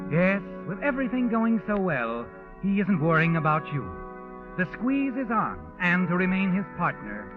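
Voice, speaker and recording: An actor playing a sardonic, all-knowing storyteller, insinuating and ominous, in a 1940s radio mystery. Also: male voice, on the high side. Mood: taunting. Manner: taunting, insinuating, second-person narration. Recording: dull vintage radio with music. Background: music.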